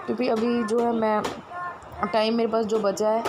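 Hoarse woman in her thirties, rambling housewife vlogger, steady narrow pitch on the high side, phone mic in a kitchen with a noisy motor behind. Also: moderate pace. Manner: rambling, chatty, lots of fillers. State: hoarse with a cold and cough. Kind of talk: casual daily vlog chat. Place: home kitchen, indoors. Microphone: phone camera, selfie then propped up across the room. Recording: noisy phone recording, pump motor running.

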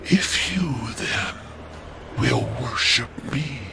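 An actor playing the devil in an audio Bible drama, a proud, sinister tempter with a gravelly, whispery voice and drawn-out delivery. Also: male voice, mid-range voice, animated, very noisy, full character voice. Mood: seductive, grandiose. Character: the devil, slow menacing male tempter, proud.

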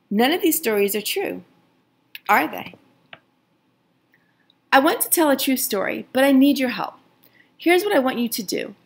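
A woman teacher reading a picture book aloud to children, gently addressing her young listeners and asking them questions. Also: vocal fry. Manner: expressive, lively pitch swings on questions.

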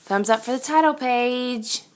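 A woman reading a picture book aloud to young children like a teacher, expressive and sing-song. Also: fairly high pitch, unhurried, slight background noise.

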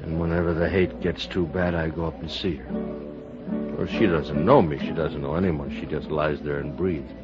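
An actor playing a drunk, bitter middle-aged TV producer with a theatrical, rambling delivery in an old-time American radio drama. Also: male voice, rumbling low, fairly even intonation, moderate pace, music in the background. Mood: sad, heavy. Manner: rambling, mock-grand, chuckling. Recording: muffled old radio broadcast recording.

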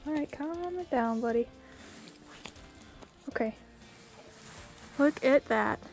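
Young adult woman, outdoor vlogger, slightly high-pitched, casual chat to a handheld camera. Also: expressive, slow pace, a little mellow, faint music behind. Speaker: vlogger and angler. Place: outdoors at a creek bank. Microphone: handheld camera at arm's length.

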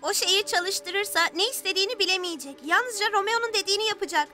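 A woman's voice: extremely high pitch, fast. A woman voicing a spirited, sensible little girl superhero in a dubbed children's cartoon. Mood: concerned. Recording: loud music behind the voice.

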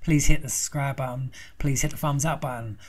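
A young man talks over gameplay in a loose, rambling way, cursing constantly. His voice is croaky from a throat infection, and his pitch sits in the middle range. He sounds like a regular gaming-channel host recorded on a mic at his setup. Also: fairly even intonation, brisk, darkish tone. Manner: swears constantly, rambling and chatty. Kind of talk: casual profane game walkthrough commentary.

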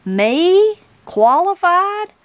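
Woman acting old backwoods granny, feisty temper, raised pitch, folksy exclamations, audiobook character, dry sound. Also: sing-song, a little slow, no echo. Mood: astonished, indignant.

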